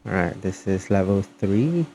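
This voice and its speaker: A man giving casual, somewhat halting gameplay commentary as he streams. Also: sits low, animated, warm tone. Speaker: game streamer. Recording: faint background noise.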